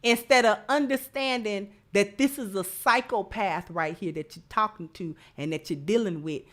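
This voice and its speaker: A woman's voice: mid-range voice, moderate pace. An American woman hosting opinionated live commentary, speaking emphatically and repeating phrases for stress. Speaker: host of a commentary show.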